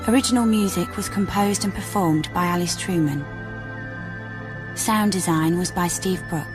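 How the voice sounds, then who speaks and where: A woman's voice: on the low side, moderate pace, music in the background. A woman reading scripted closing credits as a radio drama announcer.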